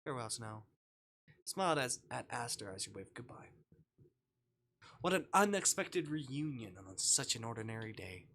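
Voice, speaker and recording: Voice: medium pitch, animated, normal speed, a bit bright, slight background noise. A streamer narrating a visual novel live, reading aloud with casual asides and the odd stumble over a line.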